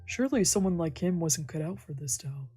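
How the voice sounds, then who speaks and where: A woman's voice: sits low, animated, brisk, warm tone, husky, faint music behind. A woman streamer reading a visual novel aloud as dramatic narration. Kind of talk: dramatic read-along narration with character dialogue.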